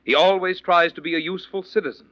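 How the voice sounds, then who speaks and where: A man's voice: high voice, steady tone, fast, a bit bright, no echo. A man announcing a scripted sponsor message in the style of 1940s radio. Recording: dull vintage radio recording.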